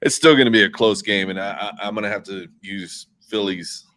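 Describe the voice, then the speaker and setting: A man with a fairly low voice talks football casually as a show panelist. His delivery is halting, with words repeated as he works toward his point. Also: a bit bright. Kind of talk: casual sports chat.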